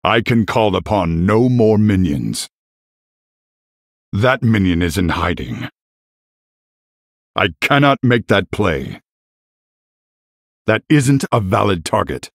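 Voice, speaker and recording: An actor voicing a noble, battle-hardened, middle-aged warrior king in a fantasy video game, gravelly and husky, speaking in a grand, formal, commanding way. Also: lowish voice, animated, slow pace, long pauses, no room sound, studio quality, full character voice. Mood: firm, terse.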